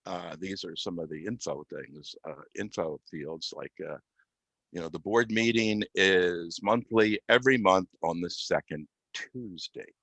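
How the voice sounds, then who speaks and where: A man giving an unscripted software demonstration as a guest, explaining step by step with halting, mid-phrase pauses. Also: low-pitched, no echo. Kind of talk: unscripted software demo walkthrough.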